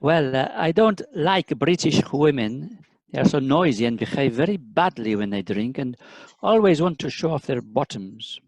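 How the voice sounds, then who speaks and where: A man playing a blunt but polite Spanish set designer in an amateur radio play, speaking haltingly with a Spanish accent. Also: mid-range voice, very animated, no room sound, rough recording. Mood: disapproving.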